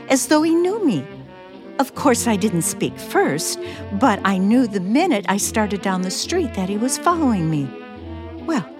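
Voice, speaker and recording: A shy, romantic spinster of forty in a radio drama. Her voice is high and rather slow, flustered and full of naive excitement. The sound is dry, with some music behind it. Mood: thrilled. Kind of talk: radio play acting, diary narration and dialogue.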